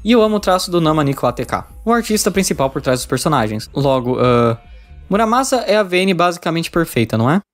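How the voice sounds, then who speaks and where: A man's voice: a little high, big pitch swings, fast talker, soft background music. A man with a Brazilian accent narrating a scripted video review as a reviewer of visual novels.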